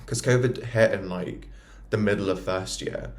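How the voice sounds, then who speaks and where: Low-voiced young English man chatting casually. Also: moderate pace. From Newcastle and London, England. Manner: chatty, informal, frequent "like". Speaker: podcast co-host, dental student. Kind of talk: casual chat.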